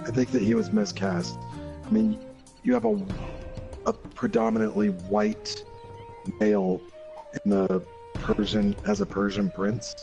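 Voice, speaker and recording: A man's voice: high voice, sing-song, measured pace, creaky, pauses often, muffled recording. A man giving his opinions in a casual gaming discussion, explaining emphatically point by point. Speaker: gaming show panelist. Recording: music behind the voice.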